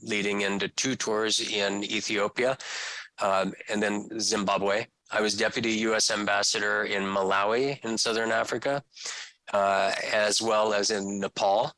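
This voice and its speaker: A man's voice: on the low side, measured pace, dry recording, poor audio. A middle-aged man from California, a career diplomat, giving a formal and businesslike introduction over a video call. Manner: steady, businesslike, lists facts.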